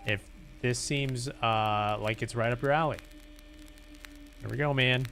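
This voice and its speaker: A man's voice: mid-range voice, a little slow, fairly bright tone, faint music behind, rough recording. A man hosting a livestream, chatting casually to viewers in a rambling, hesitant way and often repeating himself. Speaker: live streamer host.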